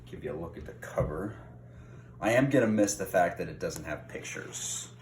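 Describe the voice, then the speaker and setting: A man's voice: low-pitched, lively intonation, moderate pace. A man reading a children's novel aloud in an informal way, sometimes stumbling and rereading a phrase. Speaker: read-aloud host. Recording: roomy echo, distant mic, faint noise.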